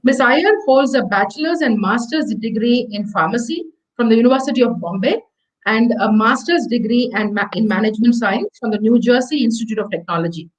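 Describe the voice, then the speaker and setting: Higher-voiced Indian woman hosting. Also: expressive, fairly quick, rough recording. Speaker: host introducing a guest speaker. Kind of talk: hosting an online fireside chat.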